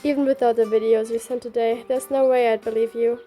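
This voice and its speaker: Mellow, higher-pitched woman reading a story. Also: flat delivery, brisk. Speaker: reader. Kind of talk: reading game story aloud, narration and dialogue. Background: faint music.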